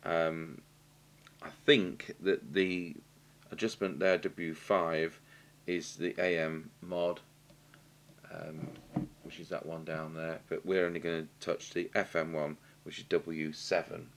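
A British man giving a halting, matter-of-fact technical demonstration, thinking aloud as he works at a workshop bench. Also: low voice, little pitch movement. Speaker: radio repair technician demonstrating. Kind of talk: unscripted technical demonstration commentary. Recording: clear background noise and hum.

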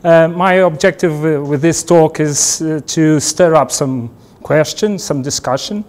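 A middle-aged man lecturing with a Portuguese accent, his voice sitting a bit high for a man. He talks in an unhurried, self-deprecating way, with light laughs between points, in a big lecture hall. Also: darkish tone. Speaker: guest lecturer. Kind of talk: university lecture.

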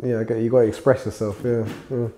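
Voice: mid-range voice, little pitch movement, quick pace, dark tone, rough recording. An interviewer asking casual questions, often laughing and affirming with yeah.

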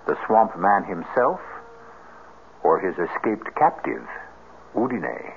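Horror radio host: deep, hugely animated, ominous, measured. Tinny 1970s broadcast. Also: male voice, heavily acted. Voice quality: gravelly. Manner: ominous, dramatic, deliberate. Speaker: host and narrator of a mystery radio drama. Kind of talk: 1970s radio theater narration. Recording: thin, noisy 1970s radio recording.

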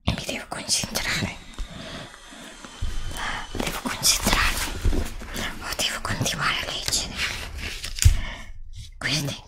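A woman acting a frightened, anguished young student in a whispered ASMR roleplay, breathy, halting and dramatic, repeating herself, close to the microphone. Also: natural voice. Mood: hushed, troubled. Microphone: close desktop microphone.

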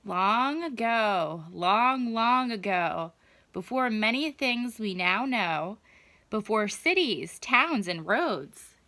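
Bright, animated woman reading to children. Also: medium pitch, dry recording. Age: young adult. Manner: expressive, deliberate, drawn-out emphasis. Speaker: children's story time reader. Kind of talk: reading a picture book aloud to children. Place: small indoor room at home.

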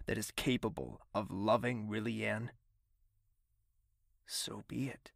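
A man playing a brooding, tormented young nobleman with a gravelly voice in an audio drama. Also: fairly low pitch, fairly bright tone, no room sound, studio quality, full character voice. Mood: defiant. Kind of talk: slow dramatic narration and monologue, audio drama. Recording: music behind the voice.

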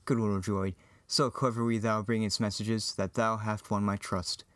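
A man's voice: on the low side, level tone, moderate pace, fairly bright tone, no echo, close to an everyday voice. A man playing an earnest, lovestruck young farm boy hero, declaiming verse in an amateur Shakespearean reading. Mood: indignant.

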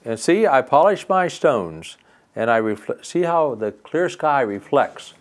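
A man's voice: medium pitch, sing-song, a little slow, voice pushed up. An older man voicing a cheerful, generous male brook, jolly and sing-song.

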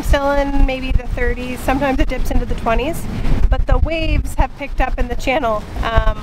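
A woman in her thirties chatting casually and a little loosely to a camera held close, out in an open sailboat cockpit. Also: high voice. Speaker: sailing vlogger. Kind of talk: casual vlog update to camera. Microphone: camera at arm's length. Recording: clear wind and boat noise.

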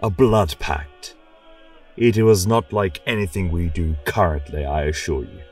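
A man's voice: sits low, a little slow, darkish tone, husky, far from the mic, soft background music. A man narrating a scripted tale as a religious chronicler, in a solemn, reverent, theatrical style. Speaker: storyteller and podcast host.

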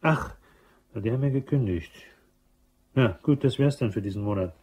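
An actor playing a brisk, businesslike and authoritative boss in a German crime radio play. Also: male voice, mid-range voice, darkish tone, breathy edge, close to an everyday voice.

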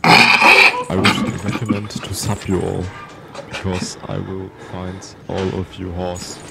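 A man's voice: low-pitched, flat delivery, creaky, very noisy, exaggerated voice. A man voicing a threatening hunter in amateur horror game lines, menacing and drawn out. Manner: slow, threatening. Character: menacing, hunting male horror villain. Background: loud game music.

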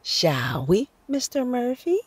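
A woman playing a teasing, good-natured newlywed bride in a radio drama, with a husky, creaky voice. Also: on the high side, very animated, takes it slowly, a little hiss or hum. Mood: playful.

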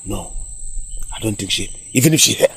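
A man's voice: fairly low pitch, expressive, fairly quick, a bit bright, breathy edge, full character voice. An actor with a Nigerian accent playing an emotional man in a film drama, by turns tender and commanding. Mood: casual, uneasy.

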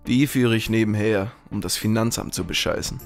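A man's voice: on the low side, normal speed, gravelly, faint music behind. A man playing a friendly but shady kebab shop owner in a parody radio play. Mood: genial.

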